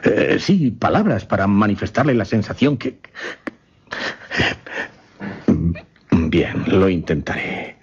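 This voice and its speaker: An actor playing a shaken gentleman recounting a supernatural horror in a radio play, grave, formal and halting. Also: on the low side, sing-song, slightly rough, full character voice. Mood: flustered, awed. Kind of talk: suspense radio theatre acting. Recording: dull, muffled radio recording.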